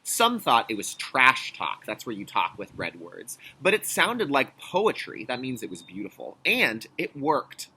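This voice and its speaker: A male kindergarten teacher with a bright, forceful voice that has a slightly husky edge. He moves between expressive storybook reading and clear explanatory asides for young children, with very animated intonation. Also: mid-range voice, unhurried, hardly any pauses, poor audio. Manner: clear instructional phrasing with check-in questions. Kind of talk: children's read-aloud lesson with explanatory asides.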